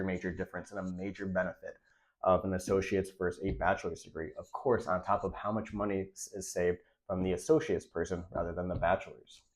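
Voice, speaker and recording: A man's voice: low-pitched, a little mellow. Congested, nasal young man giving advice. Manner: direct, emphatic, repeats key points. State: congested, nasal from a recent illness. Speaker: radiology tech creator giving career advice. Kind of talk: casual advice vlog to camera.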